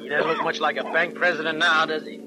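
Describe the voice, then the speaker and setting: A man's voice: on the high side, level tone, fast, natural voice. An actor playing an eager, wisecracking gangster henchman in slangy 1940s radio style. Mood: gleeful. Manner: slangy tough-guy talk. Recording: noisy vintage radio recording.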